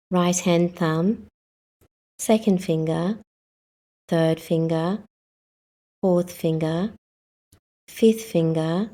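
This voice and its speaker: A woman guiding a yoga nidra meditation as the instructor, speaking calmly in short, measured instructions. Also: on the low side. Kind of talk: guided meditation.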